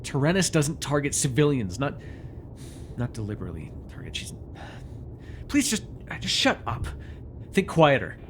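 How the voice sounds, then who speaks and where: A man's voice: mid-range voice, big pitch swings, slight background noise. A man playing a bitter, commanding telepathic villain who was once a hero, speaking with sardonic deliberation in an ASMR superhero roleplay. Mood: sardonic, irritated. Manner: sardonic, deliberate, addressing a captive.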